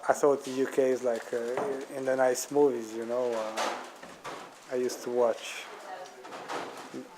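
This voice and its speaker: A man from Romania with a Romanian accent, a charity volunteer speaking haltingly about his life in the UK. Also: mid-range voice, level tone, background noise. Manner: halting, searching for words. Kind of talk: documentary testimony.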